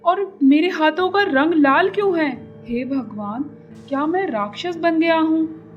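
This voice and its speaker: A woman voicing a male crow turned hungry demon in a cartoon moral story, speaking low and heavily in a slow, brooding way. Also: dull audio, soft background music. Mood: astonished, alarmed.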